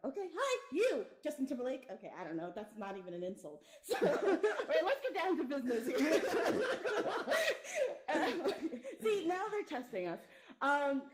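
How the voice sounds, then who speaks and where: A woman's voice: high-pitched, roomy sound. A woman hosting a casual talk show, teasing her guest and giggling often. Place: virtual reality social space.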